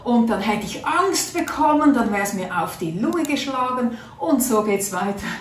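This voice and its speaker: A woman's voice: mid-range voice, distant mic. A middle-aged woman, telling a personal story to camera in an earnest, chatty way, seated at a table in a small room. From Switzerland. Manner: chatty, earnest, acts out her own thoughts. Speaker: natural health vlogger. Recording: roomy sound from off-mic.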